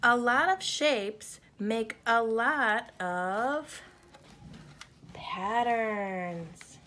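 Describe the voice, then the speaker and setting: Very slow, higher-pitched woman reading to children. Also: sharp tone. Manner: addresses listeners as friends, pauses before key words. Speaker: children's book reader. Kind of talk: slow read-aloud of a picture book for children. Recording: clear background noise, home recording. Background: background noise.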